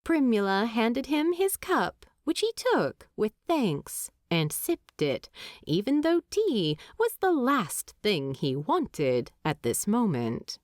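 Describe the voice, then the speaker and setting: A woman reading scripted story narration as an audiobook narrator, expressive and deliberate. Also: a little high, no echo, clean studio sound.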